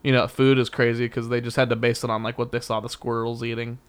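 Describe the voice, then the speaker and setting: A man's voice: medium pitch, level tone, quick pace, clear, resonant tone. A young man from Kansas with a slight Midwestern twang, hosting a podcast in a rambling, joking way full of restarts. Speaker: podcast host. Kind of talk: casual chat.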